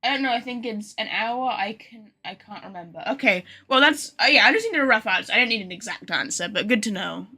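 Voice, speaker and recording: Bright-voiced woman livestreaming a game. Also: on the high side, animated, fairly quick, few pauses, slightly rough. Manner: chatty, informal, thinks aloud. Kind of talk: casual livestream chat with viewers. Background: game music.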